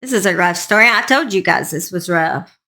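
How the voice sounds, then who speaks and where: A woman's voice: lowish voice, expressive, moderate pace, bright, forceful tone. A woman host narrating a true crime story in an informal, plain-spoken, conversational way, speaking straight to her listeners.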